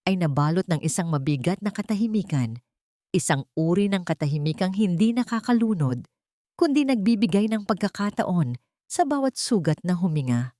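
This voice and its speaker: A woman reading scripted dramatic narration as an audio storyteller, in a solemn, measured way. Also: lowish voice, darkish tone, no echo, studio quality.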